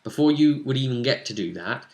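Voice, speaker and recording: A British man chatting casually as a game master, retelling a tabletop session in an animated way and acting out what characters said. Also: mid-range voice, brisk. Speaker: tabletop role-play game master and co-host.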